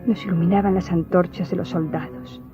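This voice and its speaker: An actress playing a melancholy young Greek noblewoman in a radio drama, recounting memories in a solemn, formal, measured way. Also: on the low side, steady tone, natural voice. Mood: solemn, remembering. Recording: muffled audio with music behind.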